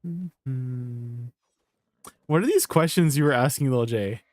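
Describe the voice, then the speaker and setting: A man's voice: mid-range voice, takes it slowly, dry recording. Laid-back, laughing male game streamer. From Canada. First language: Tagalog. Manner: laughs often, relaxed, lots of ums while explaining. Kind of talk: casual live-stream chat and gameplay tips.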